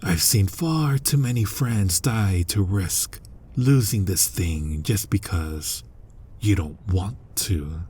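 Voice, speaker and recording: A man playing a guilt-ridden, centuries-old vampire hunter who is himself a vampire, husky and halting, in a dramatic ASMR roleplay. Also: darkish tone, slight background noise. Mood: grim, determined. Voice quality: husky, breathy low voice. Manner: slow, brooding, halting, often dropping toward a whisper.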